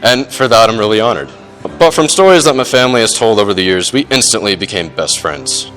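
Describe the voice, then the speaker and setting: A young man giving an informal best man speech at a wedding reception, halting, with dry, self-deprecating humor. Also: fairly low pitch, animated, a bit bright, soft background music. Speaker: best man, groom's older brother. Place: wedding reception, speaking to guests.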